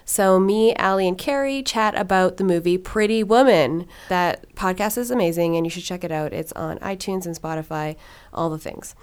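A woman's voice: on the low side, moderate pace, smooth. A young woman hosting a podcast, talking in a chatty way with lots of fillers, then interviewing a guest. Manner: chatty, filler-heavy with frequent "like". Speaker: podcast host. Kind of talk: casual monologue and interview.

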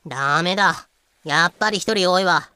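A woman in a fan audio drama playing a talkative pirate crew member with casual, expressive speech. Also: on the low side, moderate pace, clear, resonant tone, no room sound. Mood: puzzled. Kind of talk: Japanese fan-made audio drama acting.

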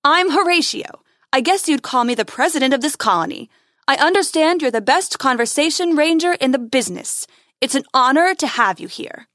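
A woman's voice: high-pitched, expressive, normal speed, fairly bright tone, dry recording, clean studio sound. A woman voicing a courteous, effusive male colony president in a science fiction story. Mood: effusive, welcoming. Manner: effusive, formal.

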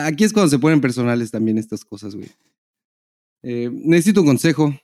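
A Mexican man with a mellow, soft-edged voice at a middling pitch co-hosts a podcast. He chats casually and reads listener messages aloud, with crude, slangy humor. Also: animated, brisk. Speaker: podcast co-host.

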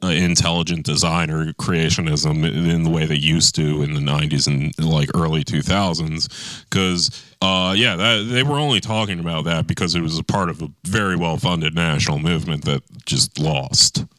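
Deep-voiced man with a bright, forceful edge. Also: fairly even intonation, moderate pace, dry recording. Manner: irreverent, profane. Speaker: podcast panelist. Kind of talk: casual political discussion.

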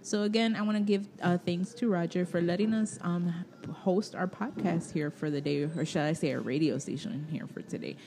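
A woman's voice: on the low side, normal speed, few pauses, noisy background. Teasing woman co-hosting a podcast. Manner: laughing, teasing. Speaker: podcast co-host. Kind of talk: casual banter. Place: restaurant.

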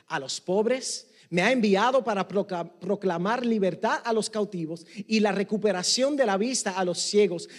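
A Puerto Rican man preaches a sermon with a high voice. He moves between Spanish and English, pressing hard on some phrases and dropping to a hush on others. The sound carries a long hall echo. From Puerto Rico. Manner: emphatic, rising and dropping to near hush. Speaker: pastor.

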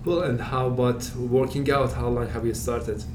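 A man's voice: mid-range voice, steady tone, moderate pace, darkish tone, a little hiss or hum. A man hosting a fitness podcast, introducing the show and interviewing his guest.

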